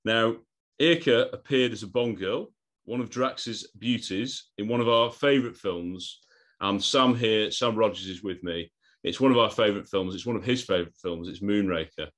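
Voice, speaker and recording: A man's voice: lowish voice, moderate pace, fairly bright tone. A man in his thirties hosting a podcast interview on a video call, eager, often repeating words and laughing between lines. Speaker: podcast host.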